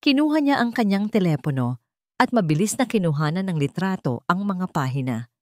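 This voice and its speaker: A woman reading a scripted dramatic story in a measured, solemn manner. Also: on the low side, very animated, no room sound, studio quality. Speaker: story narrator.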